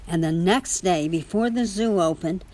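A woman reading a children's picture book aloud in an expressive storytelling manner. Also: on the low side, moderate pace, rough recording. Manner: expressive storytelling with small spoken asides. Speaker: story reader.

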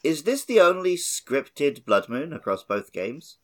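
A man's voice: fairly high pitch, very animated, a little slow. A man co-hosting a gaming podcast in casual, conversational chat. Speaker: podcast co-host. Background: background music.

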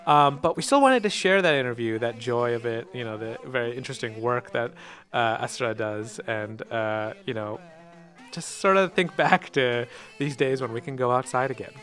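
A man hosting an interview, relaxed and rambling with plenty of fillers, recorded outdoors. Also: medium pitch, moderate pace, faint music behind. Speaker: interviewer and host. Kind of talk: casual interview. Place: outdoors near a park.

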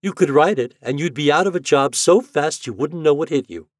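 Guy doing a high loud young woman voice, clipped and mocking, dry sound. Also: a little fast, dry recording, lightly acted. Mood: scornful. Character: bitter, sharp-tongued young woman.

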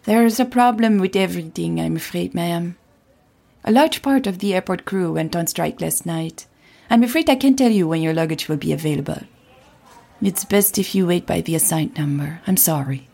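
A woman voicing a tired older airport worker in an audio drama, politely weary and apologetic, with a husky, breathy voice. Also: on the low side, normal speed, dark tone.

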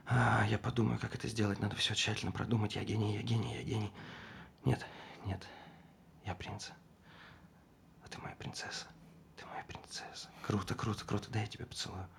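An actor playing an excitable, scheming husband who boasts and repeats himself in a stage play. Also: male voice, lowish voice, a little fast, gravelly, long pauses, whispered. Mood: giddy. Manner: excited, repeats himself, bursts into loud exclamations.

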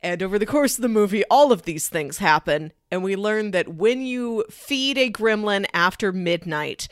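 A woman's voice: mid-range voice, animated, normal speed, dry recording, studio quality. A woman co-hosting a podcast, talking casually about films with a conversational, playful storytelling manner. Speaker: podcast co-host. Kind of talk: casual film discussion.

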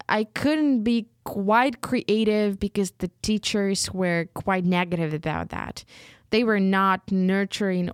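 Slow, hesitant woman, Ukrainian native speaker. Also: mid-range voice, clean tone, no room sound, studio quality. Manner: slow, hesitant, searching for words with many restarts. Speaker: writer. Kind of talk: reflective personal storytelling.

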